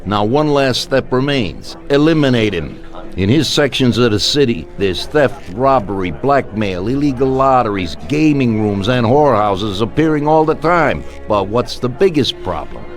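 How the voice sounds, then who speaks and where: An actor playing a ruthless middle-aged mob boss in a video game, speaking with measured, blunt authority. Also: male voice, mid-range voice, lively intonation, faint music behind, natural voice. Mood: grim, resolute. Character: ruthless, commanding male mob boss ordering a hit.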